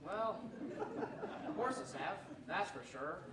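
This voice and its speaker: A man's voice: high voice, animated, slow pace, distant mic, a loud crowd. A man playing a folksy, aw-shucks cowboy game warden and husband in an old-time radio commercial staged live. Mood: puzzled. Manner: folksy, aw-shucks country talk. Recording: roomy, noisy stage recording from afar.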